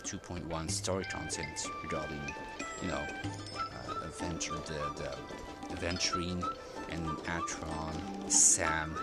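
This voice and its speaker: Low, slow-talking man with hesitant commentary. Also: fairly even intonation. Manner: rambling, hesitant with many fillers and restarts. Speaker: gaming streamer. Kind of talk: casual gameplay commentary. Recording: game music loud behind voice.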